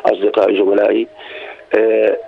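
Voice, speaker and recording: An older Jordanian man, a poet and guest on a radio show, speaking quickly and emphatically with a Jordanian accent and reciting his own verse down a muffled phone line. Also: fairly low pitch, little pitch movement. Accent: Jordanian Arabic. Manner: fast, emphatic, gravelly delivery.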